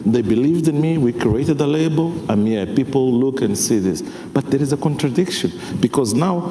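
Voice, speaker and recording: A man's voice: mid-range voice, animated, normal speed, mellow, a little hiss or hum. A man in his forties from Mozambique, a jazz musician speaking English, talking passionately on a conference panel and often checking whether his listeners follow. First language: Portuguese. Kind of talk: panel discussion. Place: large conference hall stage. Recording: echoey hall with faint ambience.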